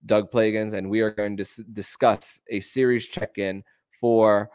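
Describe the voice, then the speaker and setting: Low-voiced man hosting a sports podcast. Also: flat delivery, measured pace, a little mellow, smooth, dry recording. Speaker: podcast host.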